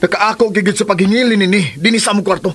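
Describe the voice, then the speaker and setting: A man's voice: sits high, fairly even intonation, rapid-fire, lightly acted. A man playing a torn husband who pleads and resists a demanding woman, in radio drama style. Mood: reproachful. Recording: music under the voice.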